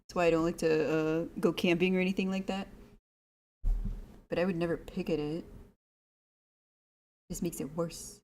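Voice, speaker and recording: A woman's voice: lowish voice, level tone, moderate pace, long pauses, a little mellow, a little hiss or hum. Chatty woman streaming a visual novel. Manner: chatty, informal asides. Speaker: game streamer. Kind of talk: casual livestream commentary mixed with reading visual novel text aloud.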